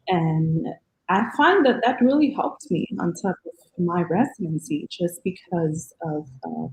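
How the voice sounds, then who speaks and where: A woman's voice: on the low side, a little mellow. Woman doctor, echoey room. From Dominican Republic. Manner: earnest, many ums. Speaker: physician guest. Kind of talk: podcast interview.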